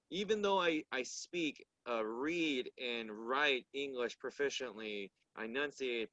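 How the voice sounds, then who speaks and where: Man, native Korean speaker, measured and thoughtful, restarts often, dull lo-fi audio. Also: medium pitch, pushed and bright, no echo. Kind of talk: casual discussion.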